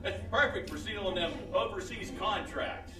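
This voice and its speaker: A man voicing a brash, folksy pitchman who scolds his listeners in an old-time radio commercial. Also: moderate pace, far from the mic, natural voice. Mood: scolding, boastful. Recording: roomy live stage with loud guitar.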